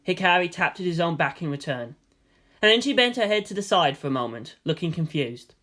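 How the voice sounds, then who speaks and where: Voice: medium pitch, sing-song, brisk, bright, forceful tone. A narrator reading fan fiction aloud as scripted drama, with a theatrical, solemn delivery. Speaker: story narrator.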